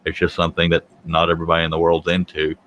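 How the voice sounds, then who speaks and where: A man's voice: low voice, monotone, very fast, fairly bright tone. A man, hosting a casual livestream chat, joking and self-deprecating as he rambles. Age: 50s. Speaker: livestream host.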